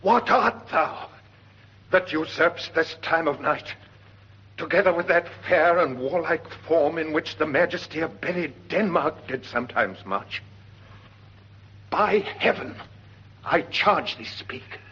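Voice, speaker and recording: An actor playing a grave, scholarly gentleman in 1940s British radio Shakespeare, speaking formal, declamatory verse. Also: fairly high pitch, lively intonation, breathy edge, exaggerated voice. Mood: commanding, alarmed. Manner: formal, declamatory, measured delivery. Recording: muffled, noisy 1940s radio recording.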